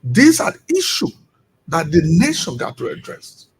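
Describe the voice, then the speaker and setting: Impassioned husky Liberian man. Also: fairly high pitch, huge pitch swings, a little slow. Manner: impassioned, rising into emphatic rhetorical questions. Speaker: executive director of a returnee network. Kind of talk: radio interview guest.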